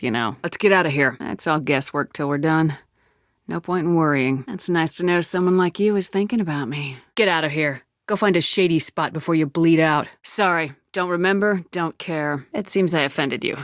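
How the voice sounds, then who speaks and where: A woman's voice: low voice, dry recording, studio quality, close to an everyday voice. A woman acting as a tough, confident space-navy commander in a video game, with a firm, controlled voice and a blunt, curt, assertive delivery. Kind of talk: game dialogue, isolated voice lines.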